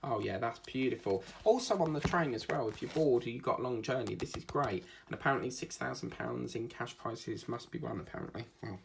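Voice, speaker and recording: A man's voice: medium pitch, expressive, fairly quick, gravelly, few pauses, slight background noise. A man with an Essex accent, chatting casually and rambling through his day while he works on a craft video for his channel. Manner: rambling and chatty, with frequent fillers. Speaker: diamond painting vlogger.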